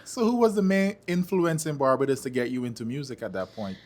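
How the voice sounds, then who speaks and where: A man hosting an interview, asking questions in a relaxed way with quick backchannels and laughs. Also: mid-range voice, very noisy. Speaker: host.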